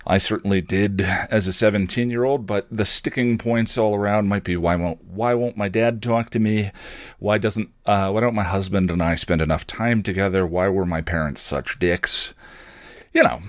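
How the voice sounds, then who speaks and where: Podcast host, male, middle-aged: lowish voice, thoughtful halting monologue with wry asides, dry close sound. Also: no room sound. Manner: reflective, halting, searching for words. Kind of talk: personal storytelling monologue.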